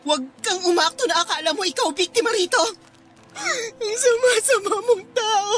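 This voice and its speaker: A woman playing a furious, wounded, sharp-tongued girlfriend in a Filipino radio drama, scolding tearfully with a Filipino accent. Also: extremely high pitch, normal speed. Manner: scolding, tearful, insult-slinging.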